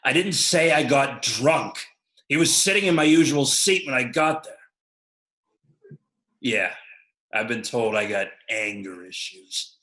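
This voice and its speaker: A snarling biker brawler with a short fuse, voiced by a man, gruff and hostile, his tone bright and forceful. It rings in a roomy, echoey space. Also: mid-range voice, animated. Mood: angry, boastful. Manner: gruff, hostile, quick to anger. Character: Rusty, a hot-tempered male biker brawler, young adult. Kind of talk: livestream play script reading.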